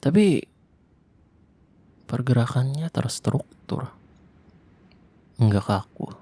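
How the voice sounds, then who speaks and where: A young man performing an ASMR roleplay monologue in casual slangy speech, tense and hushed at times, close to the mic. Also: mid-range voice, lively intonation, drawn-out words, husky. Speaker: voice actor.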